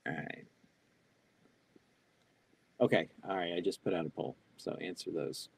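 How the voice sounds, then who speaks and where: A man's voice: sits low, a little slow, vocal fry, breathy edge. An older man speaking as a keynote presenter in a live online Q&A, reading audience questions aloud and answering off the cuff with fillers and restarts. He talks through a wired earbud mic on a video call from a small home office. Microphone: wired earbud headset on a video call.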